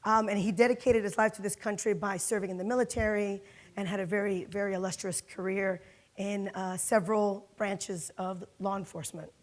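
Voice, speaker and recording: A woman's voice: mid-range voice, brisk. A middle-aged woman from Miami speaking on a conference panel, telling her career story with lots of fillers. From Miami, Florida. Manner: lively storytelling with frequent fillers and laughs. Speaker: panelist, corporate security executive. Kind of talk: panel talk. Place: conference hall.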